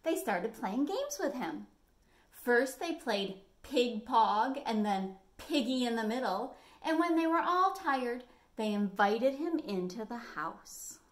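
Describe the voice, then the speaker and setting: An American woman in her forties reading a picture book expressively as a storytime host, phrasing clearly for children. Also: a little high, very animated, takes it slowly. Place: small living room at home, indoors.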